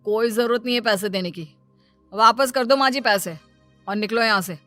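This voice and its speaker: A woman's voice: fairly high pitch, normal speed, a bit bright, no room sound, faint music behind, close to an everyday voice. A woman voicing an exasperated, easily annoyed husband who lectures, in a cartoon story.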